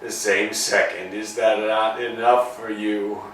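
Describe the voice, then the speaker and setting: An actor playing a blind, pompous older master in a staged play reading, speaking slowly and imperiously. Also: male voice, fairly low pitch, level tone, fairly bright tone, far from the mic, a little hiss or hum. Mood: anguished. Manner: slow, declamatory, imperious. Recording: echoey room picked up from afar.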